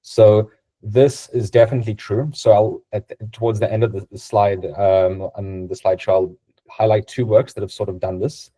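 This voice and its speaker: A man's voice: fairly low pitch, little pitch movement, moderate pace, dark tone. A man whose first language is Serbian, giving a conversational technical talk over a video call, with many fillers and tag questions. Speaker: guest speaker.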